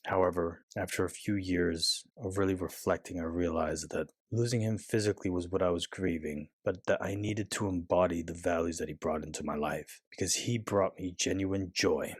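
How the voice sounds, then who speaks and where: A young adult man giving an earnest, measured and reflective personal monologue as a self-help speaker. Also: deep voice, steady tone, husky, no room sound, clean studio sound.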